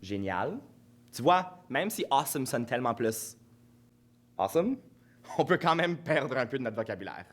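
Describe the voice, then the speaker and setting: A young man, delivering scripted sketch lines in a playful, expressive way. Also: medium pitch, normal speed, long pauses. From Manitoba, Canada. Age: young adult. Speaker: youth project member.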